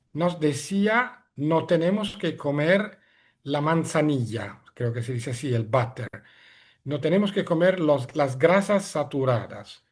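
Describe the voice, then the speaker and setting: Middle-aged guy, giving a talk on a video call, kinda high voice, stops to find words a lot. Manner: hesitant, searching for words in a second language. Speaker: guest speaker. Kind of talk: webinar lecture.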